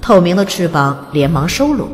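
A woman's voice: on the low side, sing-song, normal speed, darkish tone, big-room sound, faint music behind. Woman narrating a storybook in lilting tones. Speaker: audiobook narrator.

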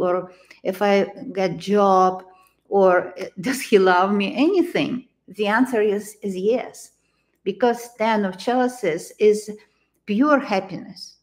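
A woman in her sixties speaks with a Ukrainian accent at a slow pace. She gives a tarot reading in a living room, choosing her words with care and pausing as she goes. Her pitch sits in the middle for a woman. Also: darkish tone. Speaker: tarot reader.

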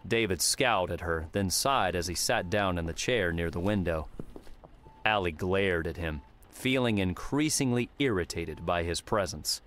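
A man's voice: sits low, normal speed, a bit bright, slight background noise. A man reading scripted fiction as an audiobook narrator.

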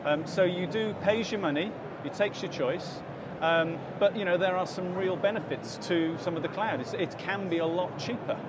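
A man in his forties talks through his business with plenty of ums and restarts, his voice sitting a little high for a man. Behind him a busy expo hall roars with loud noise. It sounds like a live stand interview. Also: moderate pace, few pauses. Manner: explanatory, many fillers and restarts. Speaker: interview guest, company director. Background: crowd chatter and hall noise.

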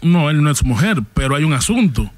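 A man's voice: on the high side, very animated, brisk, dry recording. A man, hosting a podcast and steering the conversation from a studio. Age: 40s. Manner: agreeing, steering the talk. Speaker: podcast host. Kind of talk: casual chat.

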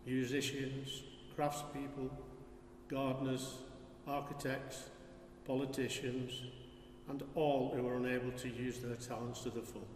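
An older man reads prayers of intercession phrase by phrase, in a measured way. He sounds distant from the microphone, in a very echoey church. A faint noise sits behind his voice. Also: medium pitch. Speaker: lay reader of prayers. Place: large stone church, lectern.

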